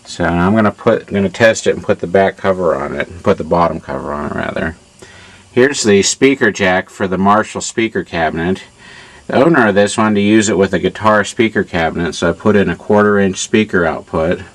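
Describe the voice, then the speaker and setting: A man giving an informal hands-on technical demonstration in a halting, matter-of-fact way, as a repair hobbyist. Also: low-pitched. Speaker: amplifier repair hobbyist demonstrating his work.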